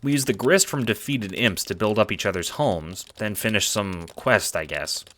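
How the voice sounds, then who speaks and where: A man's voice: fairly low pitch, normal speed, pushed and bright. A man playing an awkward, good-natured teenage boy in an audio drama, hesitant and casual with some stammering.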